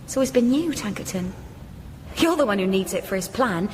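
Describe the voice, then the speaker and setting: A woman's voice: mid-range voice, expressive, brisk, a little mellow, slight background noise, close to an everyday voice. A woman playing an impulsive, paranoid young heroine, insistent and accusatory, in a comic radio drama. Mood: accusing, frantic. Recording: hall reverb with music behind.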